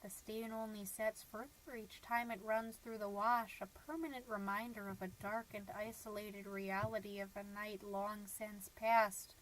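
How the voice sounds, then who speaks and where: Woman playing a high-voiced, chatty suburban mom. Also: expressive, moderate pace, fairly bright tone, a little hiss or hum, rough recording. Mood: gloomy, wistful. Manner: folksy, rambling, dramatic emphasis, wholesome euphemisms. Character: chatty, cheery suburban stay-at-home mom, naive, gossipy, quietly unhappy. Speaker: podcast host. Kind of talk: comedic character monologue as amateur podcast.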